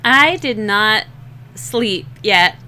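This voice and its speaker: A young woman with a slightly rough, scratchy voice, rambling and laughing at herself in a casual chat while drawing, short on sleep. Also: medium pitch, animated, extremely slow, bright, forceful tone. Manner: rambling, giggly, self-deprecating. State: sleep-deprived. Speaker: digital artist streaming a drawing session.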